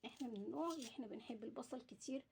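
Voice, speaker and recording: A woman's voice: on the high side, racing. A woman with an Egyptian Arabic accent giving a casual, chatty cooking demonstration, explaining things directly to her viewers. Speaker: home cooking vlogger. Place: at home while preparing food. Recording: clearly noisy home recording with clicks.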